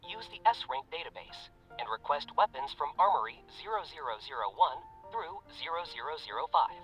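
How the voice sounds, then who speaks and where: A polite, impersonal game system voice, done by a man, filtered like a device and set back from the mic. It is fairly high and lilting as it gives formal announcements. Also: very animated, brisk, a bit bright, far from the mic, muffled recording, soft background music. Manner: formal, instructional.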